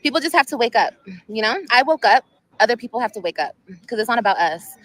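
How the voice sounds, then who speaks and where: A woman's voice: on the high side, lively intonation, quick pace, a bit bright, low-quality recording. A woman in her thirties chatting casually on a livestream outdoors at sunset, emotional and rambling, repeating herself often. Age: 30s. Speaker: vlogger.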